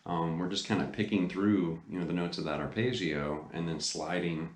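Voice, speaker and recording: A man's voice: low-pitched, fairly even intonation, normal speed, slight background noise. A middle-aged man giving a casual guitar lesson in a laid-back, conversational way. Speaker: guitar teacher. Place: small home studio room. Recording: roomy sound with loud guitar. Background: electric guitar playing.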